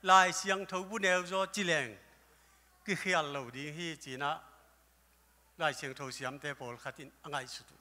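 A man's voice: fairly high pitch, moderate pace. Older male pastor preaching in an echoing hall. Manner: preaching at a lectern, gesturing, with bursts of loud exclamations. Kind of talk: sermon. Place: church hall stage. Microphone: handheld microphone. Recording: live church hall with long reverb.

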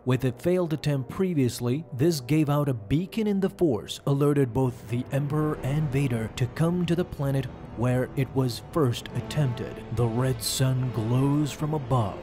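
A man's voice: mid-range voice, warm tone. A man hosting and narrating a scripted comic recap with a dramatic storyteller delivery. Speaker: YouTube host and narrator. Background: faint music.

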